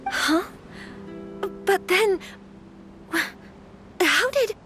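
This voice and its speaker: A woman's voice: very high voice, lively intonation, extremely slow, bright, forceful tone, soft background music, full character voice. An actress playing a courteous, troubled young noblewoman in a video game, speaking formally and hesitantly. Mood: startled, confused. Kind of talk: video game character acting.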